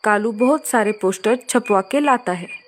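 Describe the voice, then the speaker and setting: A woman's voice: mid-range voice, moderate pace, slight background noise. A woman narrating a scripted story for children.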